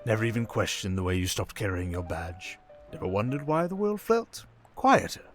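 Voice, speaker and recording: A man's voice: lowish voice, very animated. Guy doing a manipulative villain mastermind for asmr, smug coaxing talk, really swoopy delivery, music behind him. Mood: probing, smug. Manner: theatrical, coaxing, condescending, with drawn-out pauses and whispers. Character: suave, manipulative criminal mastermind villain, adult man. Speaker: ASMR roleplay performer. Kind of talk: intimate ASMR villain roleplay monologue.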